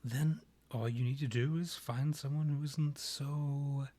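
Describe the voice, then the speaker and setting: A man playing a proud, courtly vampire monarch in a scripted ASMR roleplay, speaking formally and with measured, theatrical phrasing in a husky, breathy voice. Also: mid-range voice, steady tone, dark tone, close to an everyday voice. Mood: measured, advisory.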